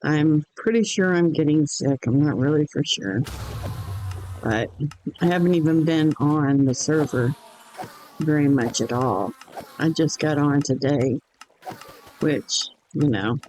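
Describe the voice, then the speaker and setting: A man streaming a game in casual chat, hoarse with his voice going in and out, rambling slowly with many pauses and ums. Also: fairly high pitch, darkish tone, slight background noise, rough recording.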